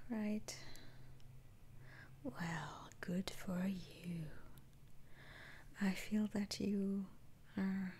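Woman playing a whispering, unhurried witch doctor. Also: mid-range voice, creaky, slight background noise. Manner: unhurried, soothing, hushed. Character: kindly, slightly teasing female witch doctor healer. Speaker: ASMR role-player. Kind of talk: whispered ASMR role-play.

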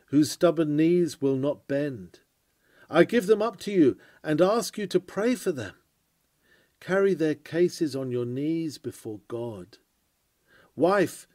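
Male sermon reader, fairly high and mellow, earnest oratorical delivery, dry close sound. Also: no echo. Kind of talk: dramatic reading of a 19th-century sermon.

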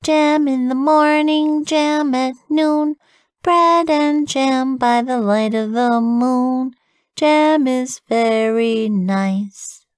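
A woman's voice: high-pitched, drawn-out words, no echo, clean studio sound, voice pushed up. A woman voicing a young badger girl in a storybook, plaintive and stubborn, childlike and given to little songs. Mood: singing. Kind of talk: storybook character voice.

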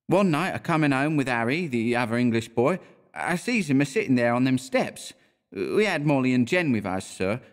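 A man's voice: a little high, voice pushed up. A man voicing a young Cockney bellboy who talks deferentially, adding sir to every line. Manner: deferential, keeps saying sir.

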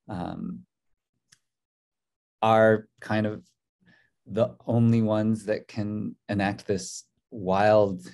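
A man's voice: sits low, gravelly, darkish tone. A man in his forties, a documentary co-director, speaking thoughtfully on a video call from a hotel room, with many fillers and restarts. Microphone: video call webcam.

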